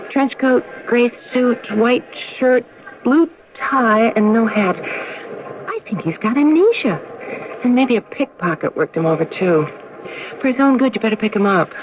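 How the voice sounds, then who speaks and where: Brisk female clerk, noisy broadcast. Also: a little high, animated. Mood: concerned, brisk. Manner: businesslike, questioning. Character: brisk, practical female clerk dealing with a customer. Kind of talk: radio drama acting. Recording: muffled, noisy old radio broadcast.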